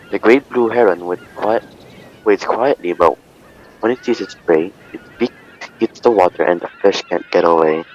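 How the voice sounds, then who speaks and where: Male storybook reader with a deep voice, captured in dull, low-fidelity audio. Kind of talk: children's picture book read-aloud. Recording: dull lo-fi sound.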